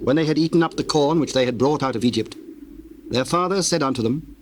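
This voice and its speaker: A man narrating a dramatized scripture, reading in a formal, measured way. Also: mid-range voice, a little hiss or hum.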